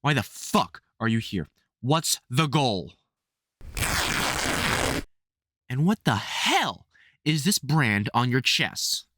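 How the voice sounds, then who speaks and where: An actor playing a gruff, protective vampire hunter who swears and stammers under worry in an audio roleplay. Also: medium pitch, big pitch swings, slow pace, a bit bright, no room sound, studio quality, heavily acted. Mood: angry, interrogating. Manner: sweary, urgent, stammering when worried. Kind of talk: audio roleplay drama spoken to the listener.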